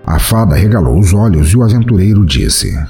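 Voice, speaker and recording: A man's voice: on the low side, lively intonation, a little fast, warm tone, faint music behind. A man with a Brazilian accent narrating a scripted fantasy story as the storyteller of an audio drama.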